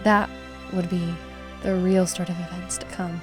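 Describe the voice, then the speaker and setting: A woman playing a troubled young woman who narrates a visual novel in a somber, measured way. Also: lowish voice, level tone, over music, lightly acted. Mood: somber, reflective. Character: troubled, reflective young woman recounting dark memories.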